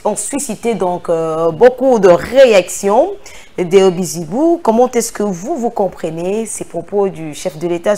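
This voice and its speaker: A woman's voice: medium pitch, darkish tone. A woman hosting a studio interview, asking probing and challenging questions.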